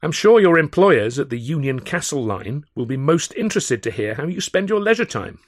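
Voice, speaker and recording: A man's voice: a little high, very animated, a little fast, dry recording, clean studio sound, voice pushed up. A man voicing a young actor in an audiobook, nonchalant and cheekily polite, with a defiant edge. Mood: polite defiance. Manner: affected politeness, raised delivery.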